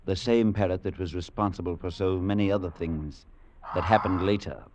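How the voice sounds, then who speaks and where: An actor playing an earnest, courteous clergyman in an old-time radio drama, speaking with measured, formal gravity. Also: low voice, steady tone, noisy background, natural voice. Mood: grave, reflective. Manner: measured, grave, formal storytelling. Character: earnest, courteous, compassionate clergyman recounting a dark tale. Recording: muffled old radio recording with music.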